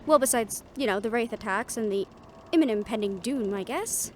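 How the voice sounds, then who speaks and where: Actress as supportive, excitable young fantasy heroine, slightly high pitch, expressive audio drama talk, faint background rumble. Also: female voice, a little fast, lightly acted. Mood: wry. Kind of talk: audio roleplay acting. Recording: faint ambient vehicle noise behind.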